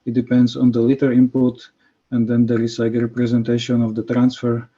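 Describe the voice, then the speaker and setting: A man from Slovakia, giving a scientific presentation over a video call, with many pauses and fillers. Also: mid-range voice, monotone, mellow, slightly rough. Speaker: conference presenter. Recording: muffled video call audio.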